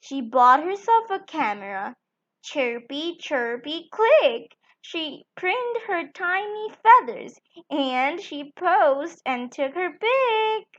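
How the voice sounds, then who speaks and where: A woman with a high voice reads a children's picture book aloud at an unhurried pace. Her delivery is sing-song and deliberate, made for young listeners. The recording is somewhat dull and lo-fi. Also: big pitch swings, a bit bright. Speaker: children's storytime channel host.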